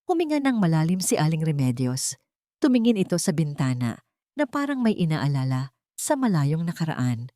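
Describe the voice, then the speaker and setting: A woman's voice: deep voice, very animated, moderate pace, mellow, no room sound, clean studio sound. A woman narrating a scripted dramatic story. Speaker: audiobook-style story narrator.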